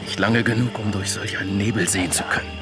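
Male actor as menacing male outlaw captain, German radio drama, lowish voice, curt, suspicious, music behind. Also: fairly even intonation, quick pace, close to an everyday voice. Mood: threatening, impatient.

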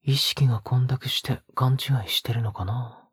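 A male actor voices a soft-spoken young man with a very breathy, husky voice. He coaxes tenderly, with a possessive edge, often slipping into a whisper. The audio drama sound is intimate, close and dry. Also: medium pitch, steady tone, normal speed, dry recording. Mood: tender, coaxing.

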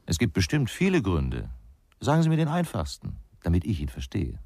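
A low-voiced man as a blunt ex-cop in a radio crime play. His speech is terse and needling, with big swings in pitch, in a very dry studio sound. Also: wildly swooping pitch, fairly quick, darkish tone, no room sound, full character voice. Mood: needling, insistent. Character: gruff, blunt male ex-policeman investigator, provoking and dismissive. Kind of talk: German radio crime drama acting.

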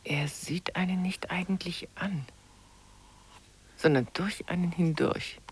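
An actress playing a curious, watchful sitter in a radio play, speaking in a measured, reflective monologue. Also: female voice, lowish voice, steady tone, breathy edge, full character voice.

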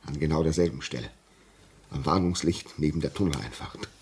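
An actor playing a grave, shaken railway signalman in a German radio play, telling his story haltingly and insistently. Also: rumbling low, a little mellow, slight background noise. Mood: haunted recounting. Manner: slow, halting, repeats words for emphasis.

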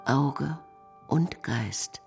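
Slow, creaky woman reciting over loud music. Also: very deep voice. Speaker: radio drama performer. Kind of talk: poetic radio art recitation.

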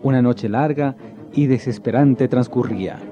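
A man narrating a legend in a radio drama with measured storytelling. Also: mid-range voice, mellow. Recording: dull lo-fi recording with faint music.

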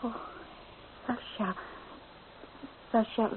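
Romantic, earnest young woman. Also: very slow. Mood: flustered, coy. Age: young adult. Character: young romantic woman longing for freedom, torn. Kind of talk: old radio play acting. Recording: tinny old radio recording, faint noise.

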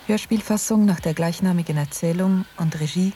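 Female announcer for formal credits, lowish dark-toned voice, faint noise behind. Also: fairly quick.

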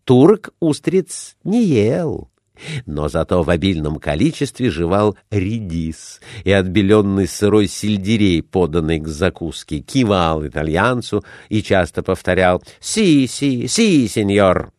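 Slow, animated male storyteller. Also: sits low, no echo, clean studio sound. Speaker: audiobook narrator. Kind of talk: expressive literary storytelling.

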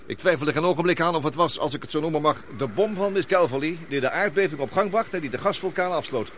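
An actor playing an excitable, loyal expedition companion in a radio drama, halting and exclamatory. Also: male voice, a little high, slight background noise, close to an everyday voice. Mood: hearty. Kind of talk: radio drama acting in Dutch.

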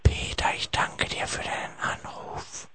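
A man hosting a late-night radio call-in show, speaking in a creaky whisper. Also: measured pace.

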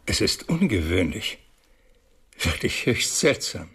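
Very husky, puzzled gentleman. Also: sits low, very animated, slow, exaggerated voice. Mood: puzzled, apologetic. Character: puzzled, tactless gentleman discussing a strange case. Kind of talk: German radio drama acting.

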